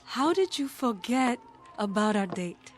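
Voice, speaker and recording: A woman's voice: fairly high pitch, sing-song, unhurried. Sardonic girlfriend in a radio drama, dry and pointed, takes her time, music under it. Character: fed-up, sarcastic girlfriend of a pool shark.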